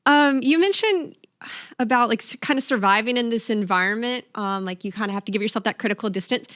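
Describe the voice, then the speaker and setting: A woman's voice: fairly high pitch, quick pace, fairly bright tone, no room sound, clean studio sound. A woman hosting an interview, chatting casually with informal, tentative phrasing and plenty of "like". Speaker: interview show host.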